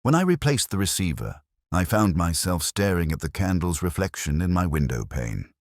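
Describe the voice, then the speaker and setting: Very deep-voiced man narrating a mystery. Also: sing-song, no room sound, studio quality. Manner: measured, deliberate, brooding. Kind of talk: scripted first-person detective narration.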